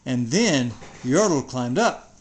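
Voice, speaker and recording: A storyteller giving an expressive, dramatic reading of a rhyming children's story. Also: medium pitch, slow. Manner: theatrical, expressive.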